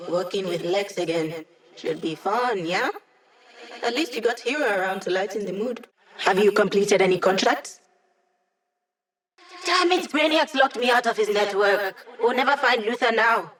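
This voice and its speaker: A woman's voice: mid-range voice, lively intonation, moderate pace, a bit bright, natural voice. An actress voicing a wry, cocky young woman hacker in a video game, speaking in quippy, casual lines. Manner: sardonic, quippy, casual slang. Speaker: game character, hacker support.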